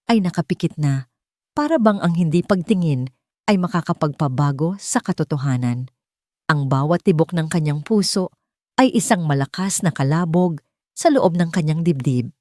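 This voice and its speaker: A woman's voice: fairly low pitch, mellow, dry recording, studio quality. A woman with a Filipino accent reading a scripted dramatic story as a narrator.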